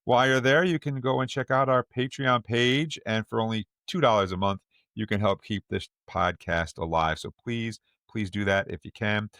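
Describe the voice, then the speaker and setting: A man's voice: fairly low pitch, normal speed, a bit bright, no echo, studio quality. A man hosting a casual fan podcast, bantering and joking as he talks. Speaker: podcast host.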